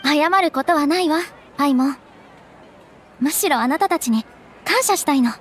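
High-voiced refined young noblewoman. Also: animated, normal speed, a little hiss or hum. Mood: reassuring, resolute. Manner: ladylike, composed, polite. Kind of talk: anime game voice acting. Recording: background music behind voice.

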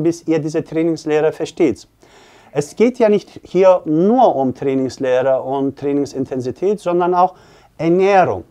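A man in his forties, a fitness coach, explains earnestly to camera with hesitant, pause-filled phrasing. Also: on the high side, darkish tone. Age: 40s. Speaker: fitness coach and gym owner. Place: small indoor office.

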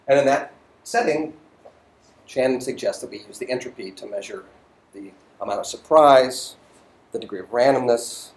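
A middle-aged man giving a physics lecture as a voiceover to slides, thoughtful and full of ums and restarts. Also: mid-range voice.

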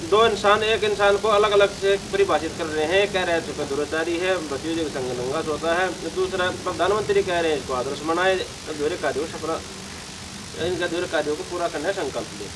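A man, giving explanatory interview answers in a halting way, often correcting his words. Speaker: interview guest, contest organiser. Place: seated outdoors in front of banners. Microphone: handheld news microphone held to him. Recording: noisy outdoor sound, idling vehicle rumble.

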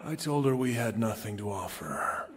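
A man playing a wry, wistful, world-weary survivor in a video game, musing conversationally in a husky voice. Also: on the low side, expressive, moderate pace, heavy background noise, lightly acted. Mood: wistful recollection. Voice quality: husky, rough-edged. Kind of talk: video game cutscene acting.

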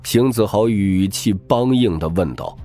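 A man's voice: lowish voice, a little slow, warm tone, faint music behind. A man reading scripted, dramatic narration as an audiobook narrator.

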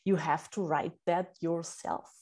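A woman's voice: fairly low pitch, fairly even intonation, unhurried, a little mellow. A middle-aged woman whose first language is German, explaining her book coaching earnestly in a podcast interview, with many fillers and restarts.

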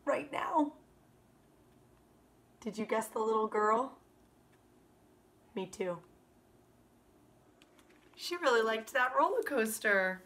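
A woman in her thirties, a kindergarten teacher, reading a picture book aloud to children in an animated, expressive way. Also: fairly high pitch. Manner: animated, expressive, drawn-out emphasis on words. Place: small living room by a fireplace, indoors.

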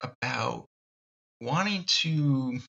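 Gravelly bright-toned man musing aloud. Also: mid-range voice, animated, dull audio. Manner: thoughtful, halting, many ums and restarts. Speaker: podcast host. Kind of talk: reflective solo podcast monologue.

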